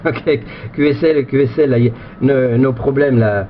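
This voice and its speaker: A man of medium pitch talks casually in amateur radio jargon. He rambles, hesitates and doubles back on his phrases. The audio is dull and lo-fi, with a faint layer of noise behind him. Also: normal speed. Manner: hesitant, repetitive, rambling delivery. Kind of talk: casual radio contact chat with ham jargon.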